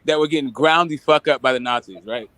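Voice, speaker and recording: A man's voice: medium pitch, fast talker, bright, forceful tone. Rambling, profane California man. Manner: loose, rambling, swears a lot. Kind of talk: casual profane chat.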